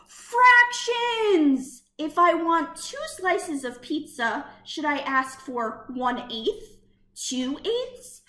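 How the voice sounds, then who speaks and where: A woman teacher reading a children's picture book aloud, expressive and deliberate, with questioning lifts. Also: sits high, echoey room.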